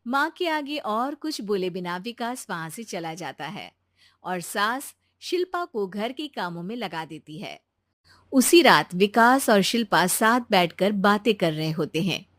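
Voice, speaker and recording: A woman narrating a cartoon moral story in a calm, even storytelling manner. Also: medium pitch, unhurried, dry recording, studio quality.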